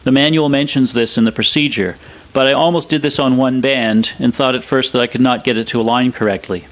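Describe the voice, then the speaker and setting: A man giving scripted technical narration in a measured, matter-of-fact way, like a presenter of a restoration video. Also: medium pitch, steady tone.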